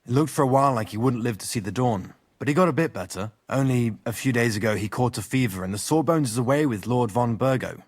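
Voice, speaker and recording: Man as gruff game castle guard, rough colloquial talk, mid pitch. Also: quick pace, few pauses, natural voice. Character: weary male castle guard, blunt and rough. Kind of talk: video game voice acting.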